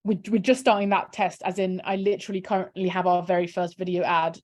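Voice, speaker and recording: A woman's voice: lowish voice, flat delivery, quick pace. A woman, a charity campaigner talking casually on a video call, filling her speech with "um" and "kind of". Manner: chatty, many fillers like "um" and "kind of". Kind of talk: casual video call interview, screen-share walkthrough.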